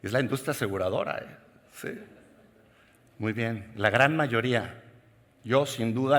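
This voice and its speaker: A man's voice: medium pitch, fairly bright tone. Mexican man speaking in a reverberant hall. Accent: Mexican Spanish. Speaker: keynote speaker. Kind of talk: conference keynote talk. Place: large conference hall.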